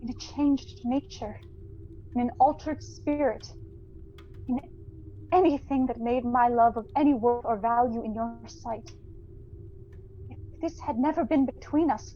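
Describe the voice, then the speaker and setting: A sorrowful young woman in a period radio play, with a fairly high voice. She speaks with gentle, earnest dignity in measured phrases, on a slightly dull recording with faint noise. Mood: sorrowful, firm. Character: sorrowful, dignified young fiancée releasing her beloved. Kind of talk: theatrical audio drama acting.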